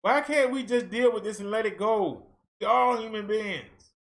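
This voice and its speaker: Fired-up man ranting, high bright voice. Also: lively intonation, moderate pace. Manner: fired-up rhetorical questions, at times shouting; casual colloquial speech. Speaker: vlogger. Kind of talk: ranting social commentary.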